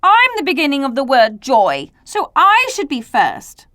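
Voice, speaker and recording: Woman playing argumentative alphabet letter, raised pitch, insistent, emphatic, assertive storybook character. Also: wildly swooping pitch, a little slow, fairly bright tone, no room sound, studio quality, heavily acted.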